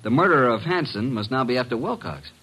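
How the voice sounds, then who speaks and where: An actor playing a brash, wisecracking detective's assistant and narrator in a vintage radio drama, breezy and slangy. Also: male voice, medium pitch, animated, fast, a bit bright, husky. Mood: wry, chatty. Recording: noisy vintage radio recording.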